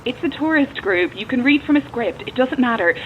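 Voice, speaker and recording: Through a dull, slightly noisy intercom comes an urgent woman in a horror audio drama. She is formal and insistent, pleading politely in a fairly high, animated voice. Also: brisk. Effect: intercom filter. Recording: muffled, noisy intercom speaker.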